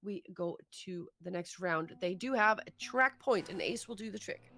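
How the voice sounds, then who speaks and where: A woman's voice: mid-range voice, moderate pace, pushed and bright. A woman commentating live on a racing game, adding analysis as an esports co-caster.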